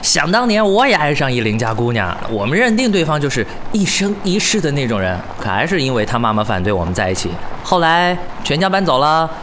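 An actor in a radio drama playing a worldly, wistful older-brother figure with a teasing, nostalgic manner. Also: male voice, fairly high pitch, big pitch swings, fairly quick, few pauses. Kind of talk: Chinese radio drama acting. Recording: noisy urban vehicle ambience. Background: traffic or vehicle noise.

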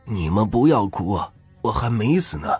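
A man voicing a frail dying old woman in a spoken ghost story, talking slowly in a trailing elderly way. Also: lowish voice, sing-song, a little mellow, breathy edge, no echo, soft background music, pitched above the performer's own voice, full character voice. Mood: scolding.

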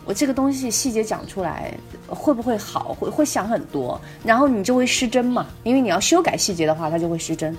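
A woman's voice: a little high, lively intonation, a little fast, few pauses, faint music behind. A woman co-hosting a podcast in casual discussion, repeating words as she works out her thoughts. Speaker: podcast co-host.

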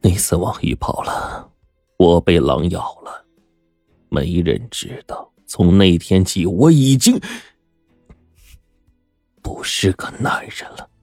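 A man's voice: deep voice, little pitch movement, normal speed, a little mellow, dry recording, soft background music. A man voicing a somber middle-aged prefect in a story, speaking with grave, bitter restraint. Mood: bitter, subdued. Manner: grave, self-mocking, cold.

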